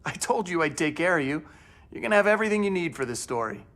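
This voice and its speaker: An assured intelligence insider in an audio drama. His voice sits a little high, and he speaks in a brisk, commanding, controlling way. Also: very animated, a bit bright. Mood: assured, proud.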